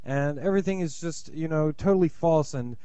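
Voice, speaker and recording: A man's voice: fairly high pitch, brisk, mellow, clean tone, no echo, lightly acted. A man voicing an indignant blonde woman who heckles a comic in a club, stammering through her protest. The sound is slightly dull and lo-fi.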